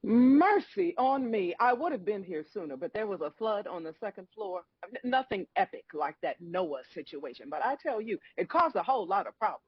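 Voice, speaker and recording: An older woman playing a folksy, unflappable female God in a comedy table read, husky, wry and matter-of-fact. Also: medium pitch, big pitch swings, moderate pace, hardly any pauses, no echo, muffled recording. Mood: folksy, weary. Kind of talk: live table read acting.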